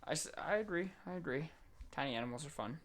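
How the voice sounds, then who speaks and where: A man's voice: a little high, animated, fast talker, a bit bright. A man co-hosting a podcast in casual banter, laid-back and agreeable, given to crude jokes. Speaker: podcast co-host.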